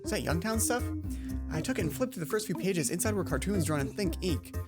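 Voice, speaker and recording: A woman's voice: lowish voice, animated, a little fast, loud music behind the voice. A woman reading a visual novel game aloud, tripping over the text and breaking off into casual, exasperated commentary. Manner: stumbles over read text, exasperated asides. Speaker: let's play host.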